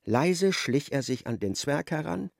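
An actor narrating a darkly comic literary radio play as an observant storyteller. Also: male voice, on the high side, expressive, unhurried, gravelly, dry recording, studio quality. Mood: wry narration.

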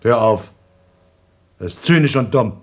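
A man's voice: mid-range voice, animated, measured pace. A man playing an anxious, principled astronaut in a radio drama, stern, reproachful and suspicious, in clipped phrases. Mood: agitated, reproachful.

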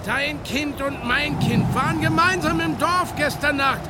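A stubborn, uneasy headman of a mountain village in an audio drama. His voice sits very high for a man and his phrases halt and repeat. Loud outdoor noise surrounds him. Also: fairly even intonation, measured pace. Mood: agitated, defensive. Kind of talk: German audio drama acting.

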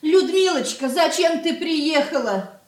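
Slow, high-voiced fretful old mother. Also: animated. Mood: alarmed. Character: worried, soft-hearted old merchant's wife and mother. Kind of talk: Soviet radio drama acting.

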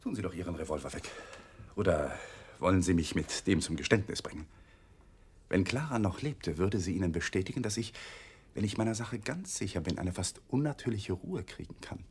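An actor playing an indignant, defiant young lawyer in a radio drama, speaking with insistence. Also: male voice, low-pitched, animated, fairly quick, darkish tone, breathy edge, heavily acted. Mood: tense, resigned. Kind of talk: 1980s German radio drama acting.

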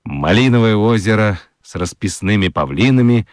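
A man narrating a children's radio play as an expressive storyteller. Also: fairly low pitch, normal speed, no echo, clean studio sound.

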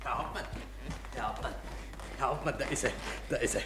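An actor playing an obsequious, proud tailor who repeats himself effusively in an old German radio play. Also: male voice, mid-range voice, a little fast, lightly acted. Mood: excited, flattering. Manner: stammering repetitions, effusive chuckling salesmanship. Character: fussy, gushing, obsequious tailor proud of his uniform. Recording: faint hiss of old radio recording.

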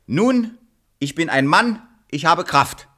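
An actor playing a cheeky, naive, boastful clown in a fairy-tale radio play, exclamatory and clownish. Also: male voice, fairly high pitch, animated, takes it slowly, sharp tone, heavily acted. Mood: eager, insistent. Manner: exclamatory, clownish, nonsense catchphrases.

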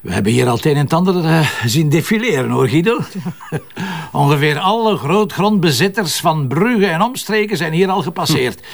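An actor playing a wry, teasing police commissioner in a crime drama, with a Flemish accent. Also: male voice, a little high, animated, normal speed, creaky. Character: joking, self-assured male police commissioner.

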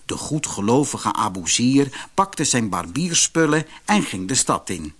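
A man narrating a fairy tale for a children's audiobook in an expressive, measured storytelling manner. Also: mid-range voice.

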